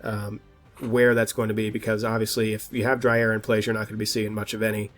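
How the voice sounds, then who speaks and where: A man with a slightly stuffed-up voice presenting an informal weather forecast as host, often halting and restarting as he thinks aloud. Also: on the low side, flat delivery, fairly bright tone, soft background music.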